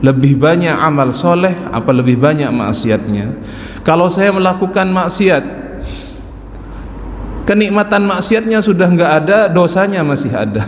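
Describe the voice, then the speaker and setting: A man in his forties giving a calm, explaining religious lecture at a mosque study circle, often adding an affirming "ya". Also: sits high, moderate pace, slight background noise. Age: 40s. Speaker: religious teacher. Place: large mosque hall. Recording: echoey mosque hall with faint ambience.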